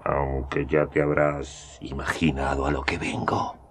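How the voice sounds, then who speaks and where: An actor playing a scheming older magistrate with a gray beard, who talks smoothly and chuckles often in a radio drama. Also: male voice, extremely low pitch, monotone, unhurried, slight background noise. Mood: sly.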